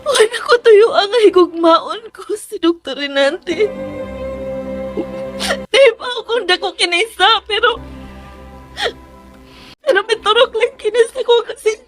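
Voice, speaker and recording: A woman's voice: a little slow, long pauses. A woman playing an emotional, pleading young woman torn by secret love in a Cebuano radio drama. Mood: tearful. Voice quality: high-pitched. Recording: music under the voice.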